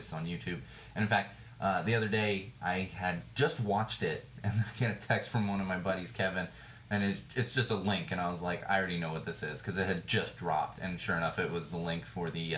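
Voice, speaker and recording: A man with a lowish, slightly hoarse voice rants bluntly about the news, hammering his points with repetition. He sounds like he is in an echoey room, with a faint hum underneath. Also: fairly bright tone. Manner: indignant, blunt, repeats phrases for emphasis. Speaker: podcast host. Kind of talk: opinionated news commentary rant.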